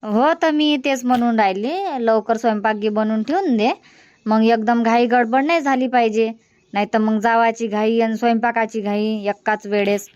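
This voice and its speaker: A woman's voice: on the high side, fairly even intonation, moderate pace, darkish tone, dry recording, lo-fi sound, close to an everyday voice. A woman voicing a homely older village mother-in-law in a cartoon comedy, chatty and instructing, with a Varhadi Marathi accent. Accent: Varhadi Marathi from Vidarbha. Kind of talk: amateur cartoon comedy dubbing in village dialect.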